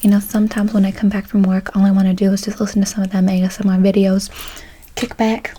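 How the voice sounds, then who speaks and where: A soft-spoken woman doing an ASMR roleplay as a folksy, chatty late-night barber, often slipping into a whisper. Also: medium pitch, flat delivery, quick pace. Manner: folksy, chatty, calls listener pet names. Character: chatty, tired late-night female barber, sweet and sassy.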